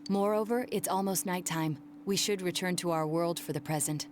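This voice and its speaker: A woman playing a composed young teenager in a video game, speaking in a measured, formal and precise way. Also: fairly low pitch, slight background noise, natural voice. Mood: calm concern.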